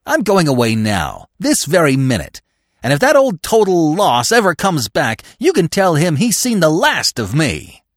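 Blustering, affronted gentleman played by a male narrator, comic audiobook, sarcastic and snappy, swooping upward in pitch, dry sound. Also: huge pitch swings, moderate pace, dry recording. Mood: furious. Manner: snapping, indignant ranting.

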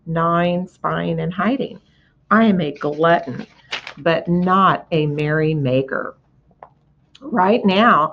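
A woman's voice: lowish voice, fairly bright tone, dull audio, exaggerated voice. A woman voicing a crafty, greedy male rat who speaks sourly and sneeringly. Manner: sour, sneering.

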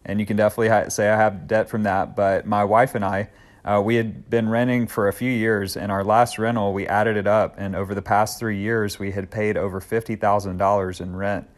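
A man in his thirties hosting a solo podcast, talking in an earnest, upbeat, conversational way with occasional fillers. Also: lowish voice. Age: 30s. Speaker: podcast host.

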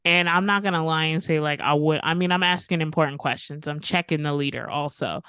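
A woman in her thirties co-hosting a casual podcast, relaxed and slangy, laughing as she talks. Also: low-pitched, fairly quick, clean tone, dry recording, clean studio sound. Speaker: podcast co-host. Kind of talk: casual chat.

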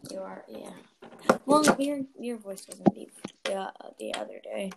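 A woman's voice: medium pitch, wildly swooping pitch, unhurried, vocal fry, very noisy. A young woman hosting a casual chat podcast, talking chattily with lots of fillers and giggles. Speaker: podcast host.

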